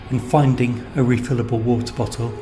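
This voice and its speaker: A man's voice: medium pitch, steady tone, fairly quick, reverberant, background noise. A man reading scripted literary narration as the writer and narrator of a story.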